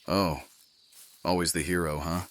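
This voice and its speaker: A man playing a gruff, wry, fatherly commander in a fantasy audio drama, speaking haltingly. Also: deep voice, level tone. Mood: concerned.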